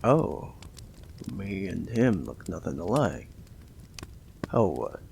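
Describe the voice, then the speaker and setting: A possessive mob boss, voiced by a man, with a low, gravelly voice that drawls slowly through long pauses. His tone swings between high and low as he teases and talks down, calling the listener darling. A close, intimate audio drama recording with a faint hiss underneath. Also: big pitch swings, darkish tone, exaggerated voice. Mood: smug explaining. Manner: teasing, condescending, calls listener darling. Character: smug possessive male mafia boss, menacing and flirtatious. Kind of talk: ASMR audio roleplay.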